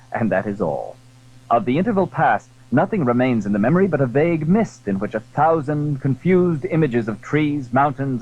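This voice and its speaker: A man narrates an adventure tale in a husky, mid-pitched voice. His delivery is measured yet richly inflected, like a storyteller. The recording is dull and lo-fi. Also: a little mellow. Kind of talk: scripted literary narration. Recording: dull lo-fi 1980s audiobook.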